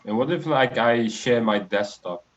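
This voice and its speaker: A man in his twenties from the Netherlands joins a live stream as a guest over a video call. His voice is mid-pitched, and he talks in a relaxed, hesitant way full of fillers. The audio is slightly muffled and lo-fi. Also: a little slow. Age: 20s. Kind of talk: casual interview chat.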